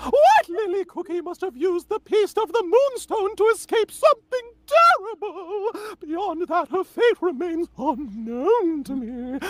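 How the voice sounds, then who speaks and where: A woman playing an ancient, excitable fairy monarch with grandiose, theatrical declaiming, as in a live fantasy table read. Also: very high-pitched, dry recording, full character voice. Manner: grandiose, slow, dramatic declaiming.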